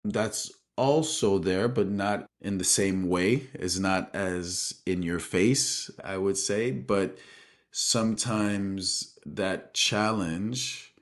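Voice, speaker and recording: A man from Benin speaking reflectively in an interview as a guest, thoughtful and halting, often adding "you know". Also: low-pitched. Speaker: guest, street dancer and choreographer.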